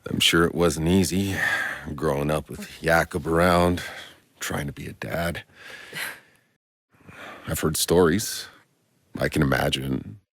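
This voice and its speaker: An actor playing a world-weary, sardonic soldier in a video game, gravelly, dry and blunt. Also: male voice, extremely low pitch, slow pace, pauses often, dry recording, heavily acted. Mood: subdued, earnest. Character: gruff, world-weary male Outrider soldier, sardonic and foul-mouthed.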